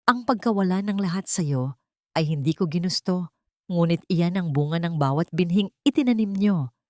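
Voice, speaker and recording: A calm, composed wronged wife as a woman narrator plays her speaks with gentle finality in an audio drama. Her voice sits a little low, in a dry recording. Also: normal speed, no room sound, natural voice. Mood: calm resolve.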